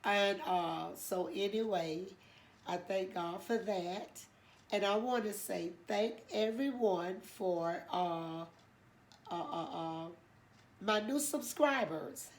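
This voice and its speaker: A woman's voice: mid-range voice, takes it slowly. An older woman hosting her own channel, chatting casually and telling a church story in a nasal, congested voice, rambling with many fillers. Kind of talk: casual chat.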